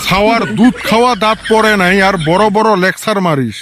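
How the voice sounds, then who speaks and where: A man's voice: high voice, fairly quick, heavy background noise, lightly acted. A man voicing a stingy, suspicious village father in a comic cartoon, sardonic and scolding, with a Rangpuri accent. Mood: threatening. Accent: northern Bengal Rangpuri.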